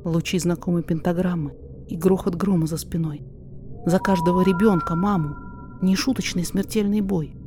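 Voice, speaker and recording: A woman narrator reading a poetic story aloud in expressive, measured, dramatic verse. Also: on the low side, over music.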